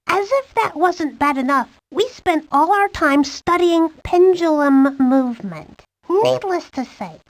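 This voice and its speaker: A woman's voice: high-pitched, rough recording. A woman voicing a precocious, pedantic eight-year-old boy for a video game, wordy and self-assured. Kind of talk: video game character voice-over.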